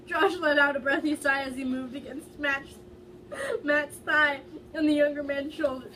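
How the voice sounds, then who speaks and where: Young woman reading aloud, distant noisy recording. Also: high voice. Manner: giggly, informal, stumbles over words. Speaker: reader of fan fiction. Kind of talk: dramatic amateur story reading. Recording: distant mic with loud background noise.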